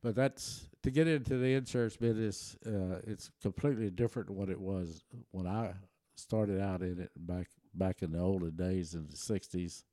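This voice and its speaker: Older man, german first language, low warm voice, folksy rambling storyteller, dry close sound. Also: fairly even intonation, normal speed, few pauses, no room sound. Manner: folksy, rambling with many restarts and chuckles. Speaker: interview guest. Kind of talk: relaxed storytelling.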